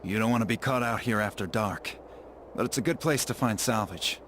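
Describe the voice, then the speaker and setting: An actor playing a world-weary, bitter miner in a video game, gruff and matter-of-fact. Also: male voice, lowish voice, a little fast, slight background noise, close to an everyday voice. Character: world-weary, bitter miner showing his brother around. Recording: loud music behind the voice.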